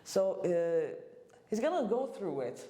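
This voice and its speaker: Female podcast guest from Morocco, native French speaker, hesitant delivery, mellow tone, roomy recording. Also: fairly low pitch, very animated. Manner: halting, many "uh" fillers and restarts. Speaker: guest, assistant director. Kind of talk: casual podcast chat. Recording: roomy room echo.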